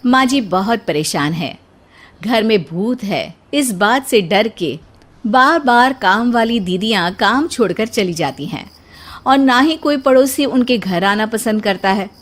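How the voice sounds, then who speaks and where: Female narrator for a comic bedtime story: scripted, unhurried storytelling. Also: medium pitch, lively intonation.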